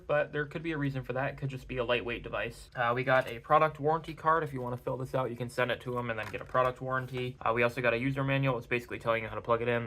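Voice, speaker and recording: A young man with a raspy, hoarse voice from a cold, reviewing a tech gadget in a casual, rambling way at home. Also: mid-range voice, a bit bright. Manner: rambling, self-correcting.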